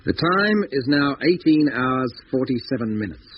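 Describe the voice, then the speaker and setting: A man's voice: medium pitch, expressive, moderate pace, natural voice. Man as blunt spaceship crewman, muffled old radio. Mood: probing. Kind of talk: 1950s radio drama acting. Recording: dull, hissy 1950s radio recording.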